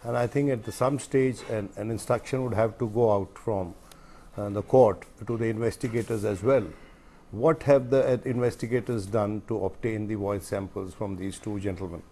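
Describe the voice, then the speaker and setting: A man with an Indian accent commenting as a journalist on a studio debate panel, measured and emphatic. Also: lowish voice, fairly even intonation, warm tone, a little hiss or hum.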